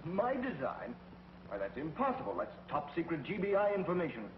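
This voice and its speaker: An earnest space commander. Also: male voice, a little high, wildly swooping pitch, fairly quick, noisy background. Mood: baffled. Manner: earnest, questioning, emphatic. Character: earnest male space-patrol commander, brave and indignant. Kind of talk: 1950s TV drama acting. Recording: dull 1950s TV soundtrack with music.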